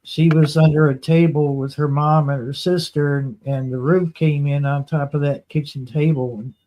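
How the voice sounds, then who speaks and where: Folksy man with a slightly high voice. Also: fairly even intonation, moderate pace, mellow, a little hiss or hum, low-quality recording. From Ohio, United States. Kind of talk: casual anecdotal storytelling.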